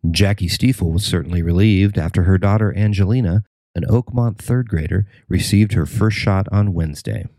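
A man's voice: deep voice, normal speed, dark tone, dry recording. An American man reading a scripted local news report as the narrator.

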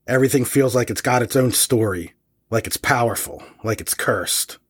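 An actor playing a laid-back, crude guitarist who talks in excitable slang. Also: male voice, medium pitch, normal speed, slightly rough, lightly acted. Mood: excited.

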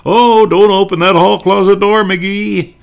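A man voicing excitable old-time radio comedy characters with exaggerated, exclaiming delivery. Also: high-pitched, a little slow, creaky, pitched above the performer's own voice. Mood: excited exclaiming. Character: excitable, high-voiced radio comedy characters shouting catchphrases.

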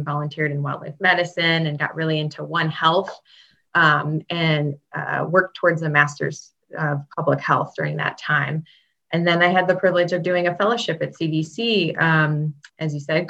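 Low-voiced woman, dull lo-fi sound. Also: sharp tone. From Chicago, Illinois. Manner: friendly, many ums, quick laughs. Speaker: guest, state public health veterinarian. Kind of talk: interview answers, casual.

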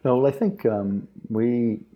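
A man in his fifties from New Zealand, a company co-founder, speaking haltingly as an interview guest with many fillers. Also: mid-range voice, big pitch swings, highs cut off. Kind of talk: interview conversation.

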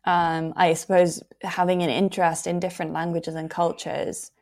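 Low-voiced woman hosting an interview. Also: little pitch movement, moderate pace, a little mellow. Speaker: podcast host.

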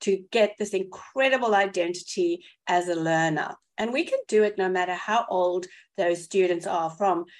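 A woman's voice: fairly low pitch, normal speed, few pauses. A woman with a South African accent, co-hosting an education podcast in an enthusiastic, emphatic way. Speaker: podcast co-host. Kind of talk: casual chat.

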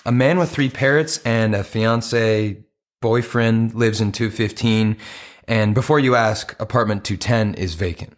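A man's voice: lowish voice, measured pace, natural voice. An actor playing an earnest, apologetic romantic man in an audio play, with a hesitant, conversational delivery. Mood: frustrated but polite.

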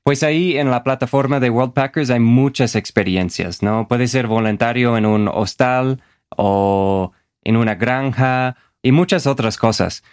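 Co-presentador estadounidense de podcast que conversa en español con tono relajado y expresiones coloquiales. La grabación es seca, sin eco. Su pronunciación delata que el inglés es su lengua nativa. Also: male voice, medium pitch, measured pace, clean tone, no echo, clean studio sound. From United States. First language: English. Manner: relaxed, easygoing, sprinkles in Mexican slang. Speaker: podcast co-host. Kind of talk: casual chat.

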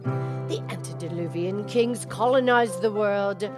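A woman doing a low, slow-spoken cow. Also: music in the background, natural voice. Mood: solemn. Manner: low, soft, deliberate and hushed. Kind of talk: storybook narration in character.

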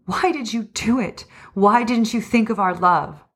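A woman's voice: medium pitch, a little slow, a bit bright, husky, voice pushed up. A devoted wife from a storybook, acted out by a woman narrator. She is tender and anxious, questioning with constant worry. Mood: shocked, wounded.

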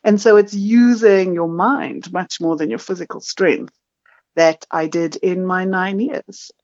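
A woman's voice: lowish voice, measured pace, a little mellow, dry recording, lo-fi sound. A middle-aged woman with a South African accent, a podcast guest telling her story frankly with self-deprecating humor over a video call. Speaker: marketing consultant, podcast guest.

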